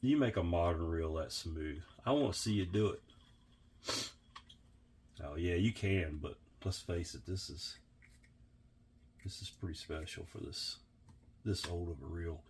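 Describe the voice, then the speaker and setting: A hoarse, sniffly man walks through a workbench repair in an unhurried, chatty tutorial style. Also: low-pitched, a little mellow, slight background noise. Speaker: vintage reel restorer.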